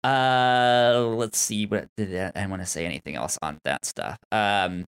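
A man co-hosting a podcast in casual chat, halting as he talks and often stammering and repeating syllables. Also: lowish voice, a bit bright, smooth. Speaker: podcast co-host.

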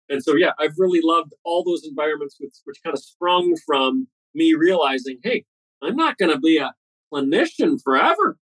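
Brief: man, 40s, dentist guest on a podcast, eager and quick, often restarts, slightly high voice, dry close sound. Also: no echo, rough recording. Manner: fast, eager storytelling with restarts. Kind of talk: podcast interview answers.

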